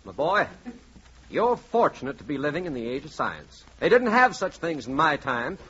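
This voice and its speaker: An actor playing a nosy, pushy, chatty bald barber in 1940s radio comedy, breezy and full of feigned innocence. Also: male voice, fairly high pitch, big pitch swings, moderate pace, fairly bright tone, breathy edge, exaggerated voice. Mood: needling, salesmanlike. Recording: muffled, noisy 1940s radio recording.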